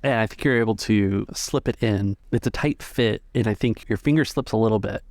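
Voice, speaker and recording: Creaky-voiced man running a tabletop game. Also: on the low side, fairly quick, darkish tone, no echo, clean studio sound. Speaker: game master. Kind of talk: tabletop roleplay narration. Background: music.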